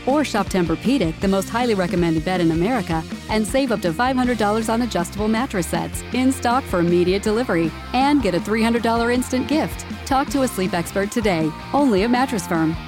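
A woman's voice: mid-range voice, lively intonation, fairly quick, hardly any pauses, over music. Upbeat woman reading an ad. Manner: upbeat, salesy. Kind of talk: scripted ad read.

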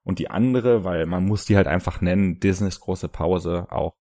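A man's voice: low-pitched, normal speed, mellow, no room sound, clean studio sound. A young man co-hosting a casual podcast, telling stories in an easygoing way with many fillers. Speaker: podcast co-host. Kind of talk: casual chat.

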